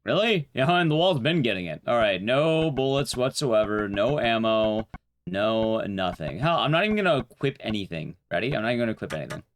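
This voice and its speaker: A man's voice: mid-range voice, big pitch swings, fairly quick. A man streaming casual speedrun commentary, rambling wryly to his chat. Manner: wry, rambling asides to chat. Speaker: game streamer. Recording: game audio noise behind voice. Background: game gunfire and clicks.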